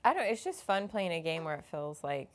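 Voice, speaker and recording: Woman with lowish voice, chatting casually. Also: sing-song, brisk. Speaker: tabletop game player. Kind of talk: casual post-game chat.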